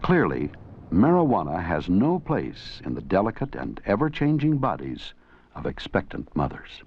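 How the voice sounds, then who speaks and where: A man's voice: sits low, huge pitch swings, normal speed, vocal fry, breathy edge. A man narrating an educational film from a script, in an earnest, didactic way. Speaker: documentary narrator and presenter. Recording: dull 1980s educational film soundtrack.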